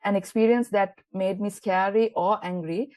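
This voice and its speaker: A woman from Sri Lanka speaks carefully, often pausing with "uh" as she talks about her advocacy. Her pitch sits in a middle range for a woman. The recording is very dry, with no room sound. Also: fairly even intonation, darkish tone, no room sound, rough recording. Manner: careful, with frequent uh fillers. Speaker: gender equality advocate. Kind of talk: personal testimonial statement.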